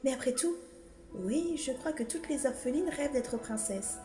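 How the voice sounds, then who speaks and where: A woman voicing a spirited, blunt young orphan in an animated film fandub, speaking directly and earnestly. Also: sits high, moderate pace. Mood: hesitant hope. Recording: echoey reverb with film music.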